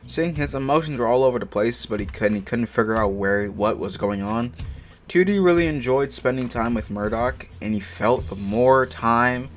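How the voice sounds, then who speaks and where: A man hosting a comic reading of fan fiction, stumbling over words and breaking into slangy, profane, exasperated asides. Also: medium pitch. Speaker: host reading fan fiction aloud for an online video. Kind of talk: comic dramatic reading with casual side commentary. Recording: music playing behind the voice. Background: music.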